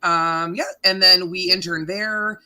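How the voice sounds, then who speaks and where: A woman in her thirties from Canada with a deep voice, a radio morning host chatting casually in an interview, laughing often and filling with ums. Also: steady tone, measured pace, sharp tone. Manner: chatty, laughing, self-deprecating, many fillers.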